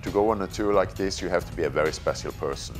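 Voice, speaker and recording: A man who leads the motorcycle tour, speaking in a documentary interview with measured pauses. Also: sits low, soft background music. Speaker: tour leader.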